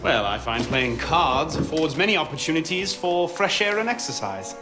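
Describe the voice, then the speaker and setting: An actor playing a cocky, glib young French rogue in a video game, flippant and teasing. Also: male voice, on the high side, sing-song, moderate pace, over music. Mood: wry, cheeky. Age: young adult. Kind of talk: cinematic game voice acting.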